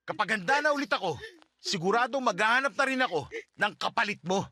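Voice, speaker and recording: A man's voice: sits high, animated, fairly quick, pushed and bright, slight background noise. A Filipino man playing a firm, fed-up husband, blunt and exasperated, in radio drama style. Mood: cold, firm. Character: firm, fed-up husband ending a long relationship.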